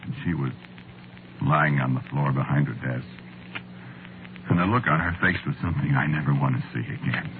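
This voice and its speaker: An actor playing a weary, meek, haunted man who confesses in a halting, deliberate way, in the style of 1940s radio drama narration. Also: a bass voice, very noisy. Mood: haunted, grave. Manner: hushed, deliberate, halting, conversational. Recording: muffled vintage radio recording, music behind.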